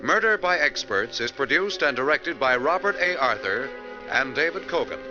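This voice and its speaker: A man announcing on 1940s radio, reading scripted credits in a formal, measured manner. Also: medium pitch, animated. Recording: muffled 1940s radio recording. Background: music.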